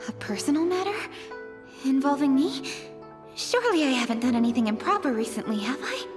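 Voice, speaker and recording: Young noblewoman, high pitch, proud, formal, principled, video game acting, faint music behind. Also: lively intonation, brisk. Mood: puzzled.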